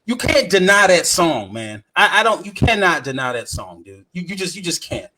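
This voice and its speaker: A man with a fairly high voice talks casually as a podcast co-host. He is animated and halting, circling back over his phrases to drive a point home. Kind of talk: casual movie chat.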